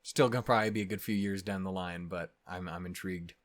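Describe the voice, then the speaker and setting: A man giving casual, off-the-cuff commentary to viewers as a reaction host, with animated asides and frequent swearing. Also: sits low, fast talker, no echo.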